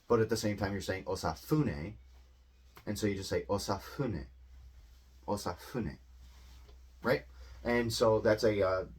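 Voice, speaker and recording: A man gives an unscripted English lesson in a low-pitched voice. He rambles, fills pauses with ums and uhs, and restarts his sentences. The tone stays loose and conversational, like a casual explainer video. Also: breathy edge. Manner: rambling, filler-heavy, self-correcting. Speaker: English teacher.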